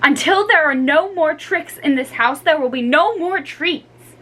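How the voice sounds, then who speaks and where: A woman voicing a stern, disappointed mother who scolds in measured words, in a storybook reading. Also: sits high, lively intonation, pushed and bright, a little hiss or hum, voice pushed up, heavily acted.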